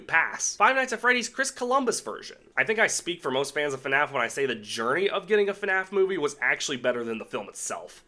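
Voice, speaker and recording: A man hosts a scripted video countdown in a bright, forceful voice. His pitch swings around a lot as he races through each entry. He is sarcastic and irreverent, and swears casually. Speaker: video essay host.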